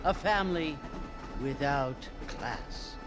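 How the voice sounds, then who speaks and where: Slow-talking haughty frontier society lady. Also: low voice, expressive, music in the background. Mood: disdainful. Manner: snobbish, deliberate, disdainful. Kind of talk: video game western dialogue acting.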